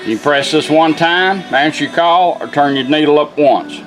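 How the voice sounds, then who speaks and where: Higher-pitched man giving a tutorial. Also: moderate pace, fairly bright tone, soft background music, poor audio. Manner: plain-spoken, folksy, step-by-step. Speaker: product demonstrator, radio restorer. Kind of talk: casual hands-on tutorial narration. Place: indoor workbench.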